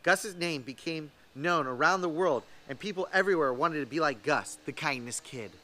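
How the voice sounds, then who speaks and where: A man reading a children's storybook aloud in an expressive, emphatic way. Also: on the high side, moderate pace. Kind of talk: scripted storybook read-aloud.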